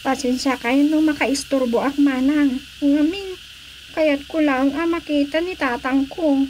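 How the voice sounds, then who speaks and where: An actress playing an earnest, polite young daughter in a radio drama, pleading and deferential. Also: female voice, high-pitched, fairly even intonation, a little slow, a little mellow, slight background noise, rough recording. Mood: apologetic.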